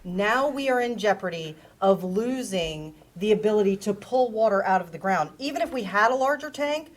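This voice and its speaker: A woman from New answering as a candidate at a town forum, earnest and measured, with frequent ums. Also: mid-range voice, animated.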